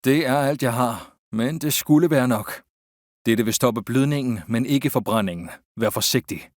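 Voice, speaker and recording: A man voicing a reassuring army surgeon in a video game, with measured, soothing delivery. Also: mid-range voice, no room sound, clean studio sound, close to an everyday voice. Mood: calm, reassuring. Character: calm, reassuring male army surgeon tending wounded soldiers. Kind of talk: video game character voicelines.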